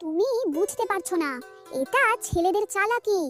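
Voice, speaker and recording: Narrator lady as a nosy village auntie, really high voice, suspicious, know-it-all, cartoon with music under. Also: normal speed. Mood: insinuating. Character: gossipy, suspicious village neighbour woman. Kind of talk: cartoon story acting.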